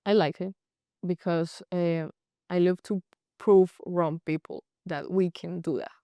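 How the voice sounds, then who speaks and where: A young woman from Colombia with a Colombian Spanish accent, a native Spanish speaker speaking halting English with frequent restarts in a casual interview. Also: on the low side, steady tone, dry recording, muffled recording. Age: young adult. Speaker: guest, college goalkeeper.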